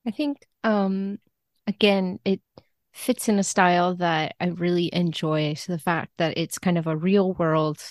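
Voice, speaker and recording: A woman's voice: on the low side, steady tone, unhurried, dry recording, clean studio sound. A woman speaking as a podcast guest in a casual interview, enthusiastic and informal about her work as a literary translator.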